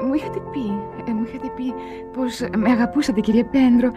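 Sweet romantic ingenue, female actor, coaxing, high-pitched, old radio drama, faint music behind. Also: dull audio, natural voice. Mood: wistful, coaxing. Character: sweet, lovestruck well-born young woman. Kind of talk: old Greek radio theatre acting.

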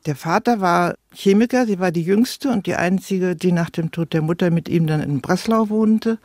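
A woman speaks as an interviewed biographer, recounting her research. Her voice is low for a woman, and the recording is dry. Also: moderate pace, a little mellow, no room sound. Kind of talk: interview recollection.

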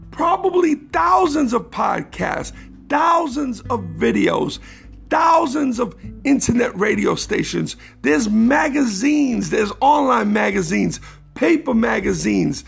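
Raspy, gravelly man hosting a podcast. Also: extremely high pitch. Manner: rambling with many restarts, growing emphatic. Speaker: podcast host. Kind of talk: casual solo podcast monologue.

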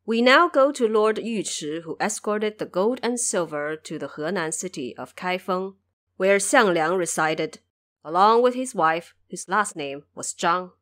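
A woman narrating a classic adventure tale in a measured, scripted storytelling manner. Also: fairly low pitch, lively intonation, a bit bright. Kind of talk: scripted audio drama narration.

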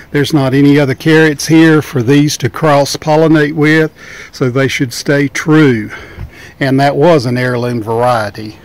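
A man giving a casual, folksy tour of his home garden, explaining as he films on a deck outdoors with a handheld camera. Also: on the high side. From Tennessee, United States. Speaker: gardening vlogger.

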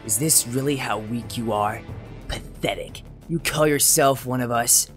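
A man voicing a menacing vampire assassin in a taunting, haughty manner for an animated roleplay drama. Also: mid-range voice, measured pace, faint music behind, close to an everyday voice. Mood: contemptuous taunting.

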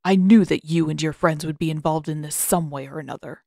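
A woman's voice: low voice, fairly quick, creaky, a little mellow, no room sound, studio quality, voice pushed down. A woman voicing a stern old male master, cold, disapproving and condescending, in a storybook reading. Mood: stern, scolding.

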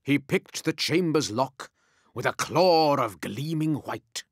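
A man's voice: on the high side, lively intonation, slow, no room sound, studio quality. A man narrating a rhyming story in a dramatic, scripted storyteller's delivery.

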